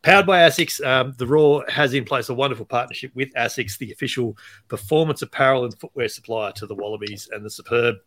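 Male podcast host, upbeat and chatty, mid-pitched voice, trails off quietly at the ends of phrases. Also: fast talker. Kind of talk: casual podcast chat with scripted reads.

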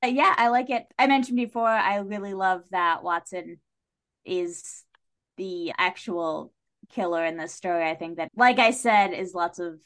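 A woman's voice: on the low side, unhurried, fairly bright tone. A woman talking casually as a podcast guest, chatty and opinionated about stories, often putting emphasis on her points. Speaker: podcast guest, writer and director. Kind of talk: casual literary discussion.

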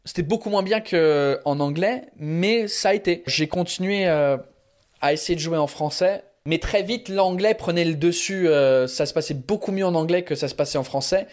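A man's voice: fairly high pitch, normal speed, a little mellow. A man in his thirties, a comedian speaking a language that is not his first, answering interview questions casually with many fillers and restarts. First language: not French. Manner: hesitant, many fillers and restarts, drops English phrases. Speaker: stand-up comedian, guest.

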